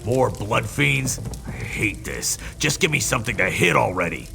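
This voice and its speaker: A man playing an impatient, battle-hungry fighter in a video game, gruff and blunt. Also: mid-range voice, expressive, moderate pace, music in the background. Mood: fed up. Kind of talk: video game character acting.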